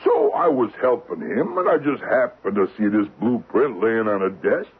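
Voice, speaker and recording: A man's voice: on the low side, animated, normal speed, slightly rough. An actor playing a cocky safecracker in an old-time radio drama, folksy and slangy, tagging his sentences with little questions. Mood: confident, slangy. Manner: folksy, slangy, talks in tags like "see?" and "huh?". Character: cocky male safecracker scheming a vault heist. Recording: thin narrow-band old radio broadcast, faint hiss.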